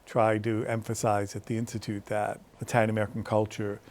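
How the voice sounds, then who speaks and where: A man's voice: fairly low pitch, completely flat delivery, fairly quick, a little mellow. A man hosting a studio talk show, chatting with guests and asking leading questions. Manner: conversational, asks leading questions. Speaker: host. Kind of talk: interview chat.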